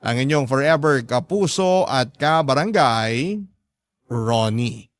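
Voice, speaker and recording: A man's voice: medium pitch, animated, slow pace, no echo, clean studio sound. A man with a Filipino accent reading out a listener's heartfelt letter as scripted radio drama narration.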